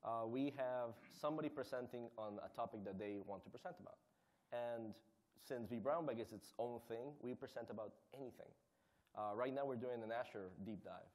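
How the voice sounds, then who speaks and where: Panelist: man, fast energetic chat, mid pitch. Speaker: panelist, community volunteer. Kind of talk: conference panel talk.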